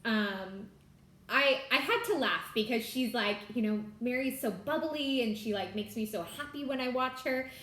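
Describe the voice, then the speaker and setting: A woman's voice: fairly high pitch, normal speed, a bit bright, echoey room. A young adult woman from California, chatting casually to camera as the host of a stitching vlog, bubbly and full of fillers like "like" and "y'all". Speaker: Flosstube host.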